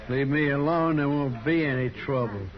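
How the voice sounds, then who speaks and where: A man's voice: mid-range voice, normal speed, lightly acted. A surly saloon customer played by a man in an old radio Western, with a mid-range voice. He is curt, possessive and on the defensive. The recording sounds dull and aged. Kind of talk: 1950s radio Western acting.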